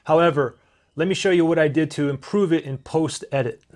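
A man in his thirties talking through a camera microphone test step by step, in a relaxed way with fillers, recorded indoors on a camera-mounted shotgun mic. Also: fairly high pitch, a little fast. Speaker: gear reviewer. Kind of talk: casual how-to walkthrough. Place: indoor room, desk demo.

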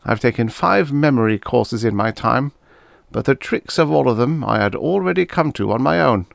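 Guy doing a boastful old politician in an audiobook, pompous n frosty, voice pushed higher. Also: expressive, creaky, no room sound, studio quality. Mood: smug, frosty. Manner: pompous, formal, measured boasting. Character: seventy-year-old male politician, self-assured, boastful, frosty. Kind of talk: storybook character dialogue.

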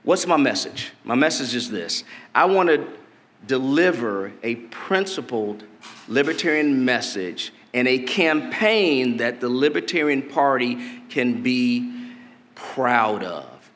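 Man giving a measured speech in a reverberant hall. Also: mid-range voice, lively intonation, a bit bright. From Detroit, Michigan. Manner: deliberate, measured delivery with emphatic rises. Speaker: political candidate. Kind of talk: campaign stump speech. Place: convention hall stage. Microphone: podium mic.

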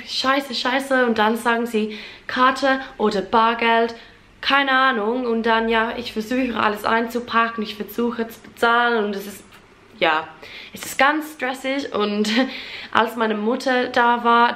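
A woman's voice: fairly high pitch, a bit bright. A young Englishwoman chatting casually to the camera in German as a learner, with an English accent and many fillers and restarts. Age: young adult. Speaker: vlogger. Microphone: camera at close range.

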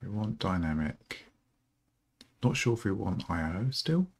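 A middle-aged man with a low, gravelly voice, still rough from just getting up. He talks at an unhurried pace, halting often with ums as he thinks aloud through his work. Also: expressive, darkish tone. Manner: slow, halting, with many ums. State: rough morning voice. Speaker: programmer streaming a coding session. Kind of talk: thinking aloud while coding.

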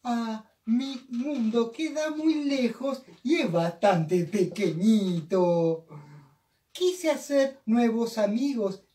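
A man's voice: extremely high pitch, lively intonation, slow, mellow, full character voice. A man playing a tiny, friendly traveler from another world in cheerful, sing-song comic storytelling. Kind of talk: comic clown storytelling performance. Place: small indoor room, three performers at table. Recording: echoey room, distant mic, faint noise.

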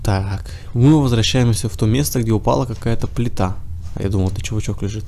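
A man with a cold talks his way through a game session, chatty and casual, mumbling to himself and jeering at the enemies he shoots. His voice is gravelly and dark, with a faint hum of room sound behind it. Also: on the low side, fast talker. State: has a cold. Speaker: let's play gamer. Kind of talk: casual gameplay commentary.

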